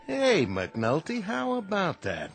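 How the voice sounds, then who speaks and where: An actor in an old-time radio drama playing a weary, sardonic saloon owner given to dry wisecracks. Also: male voice, sits high, huge pitch swings, slow, vocal fry, faint music behind, exaggerated voice. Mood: sarcastic.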